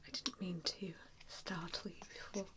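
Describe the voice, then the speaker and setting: A woman's voice: lowish voice, level tone, normal speed. A woman voicing a kindly, apologetic vampire in a whispered ASMR roleplay, hesitant and reassuring as she tends to a patient. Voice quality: soft breathy whisper. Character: nice, apologetic female vampire tending a newly bitten patient.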